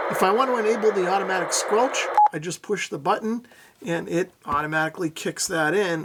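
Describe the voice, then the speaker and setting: A man's voice: fairly high pitch, very noisy. A middle-aged man narrating a casual hands-on product demo, explaining things methodically and without hurry. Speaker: radio reviewer, presenter.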